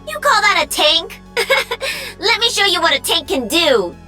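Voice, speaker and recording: A woman's voice: extremely high pitch, big pitch swings, unhurried, pushed and bright, faint music behind, full character voice. A woman voicing a perky, cocky young female pro-gamer mech pilot for a video game. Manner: bubbly, cocky, teasing.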